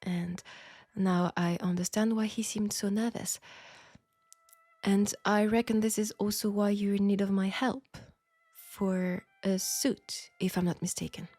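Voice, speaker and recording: A woman's voice: mid-range voice, steady tone, unhurried, a little mellow, dry recording, faint music behind. A woman with a French accent playing a polite, formal, self-assured seamstress in a steampunk audio roleplay drama. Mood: polite, composed. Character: proud, outspoken, formal young seamstress in steampunk Paris.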